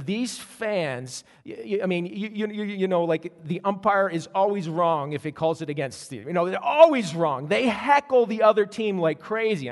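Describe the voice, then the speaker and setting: Pastor guy with a pretty high voice, telling a story all animated, lots of restarts, big echoey church hall. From Canada. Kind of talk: sermon. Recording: long hall reverb.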